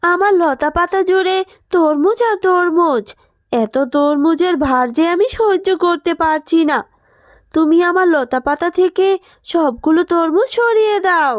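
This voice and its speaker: Woman as a high-voiced kindly magic plant. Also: unhurried, no room sound, studio quality. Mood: pleading. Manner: plaintive, pleading. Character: weary, pleading magical watermelon plant, burdened but kindly. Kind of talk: Bengali children's cartoon dubbing.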